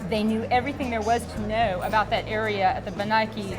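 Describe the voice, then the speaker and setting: A woman's voice: medium pitch, fairly even intonation, fast talker. A woman from Dallas, a native Greek speaker, talking through her background in a chatty way with many ums and restarts. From Dallas, Texas. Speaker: gallery owner. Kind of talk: interview at an art show. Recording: music and crowd behind. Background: crowded gallery event with music.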